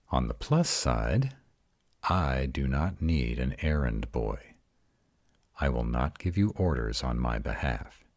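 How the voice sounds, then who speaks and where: A man voicing an arrogant, commanding vampire in an audio roleplay, speaking in a formal, measured and stern manner. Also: rumbling low, no room sound, exaggerated voice.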